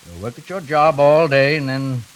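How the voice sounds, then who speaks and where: A man's voice: mid-range voice, moderate pace, natural voice, rough recording. A man playing a menacing fugitive intruder, formal, commanding and icily courteous, in 1940s radio drama style. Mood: quiet menace. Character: cold, menacing male fugitive intruder, mockingly polite. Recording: faintly hissy vintage radio recording.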